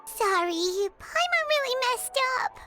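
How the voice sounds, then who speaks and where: A woman voicing a tiny, childlike, excitable fairy companion with a squeaky voice in an anime-style video game. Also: slow, full character voice. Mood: flustered. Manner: speaks of herself in the third person, exclamatory. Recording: loud game music behind the voice.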